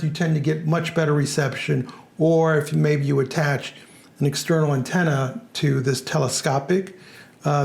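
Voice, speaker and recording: A man narrating a scripted product review in a plain, measured way. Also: a little high, vocal fry, darkish tone.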